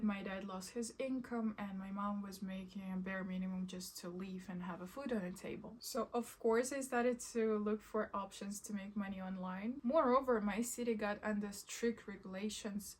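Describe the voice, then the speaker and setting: A woman's voice: mid-range voice, a little hiss or hum. Young woman. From Kazakhstan. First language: Russian. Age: 20s. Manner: candid, casual, fond of slang. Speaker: vlogger. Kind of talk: personal storytelling vlog.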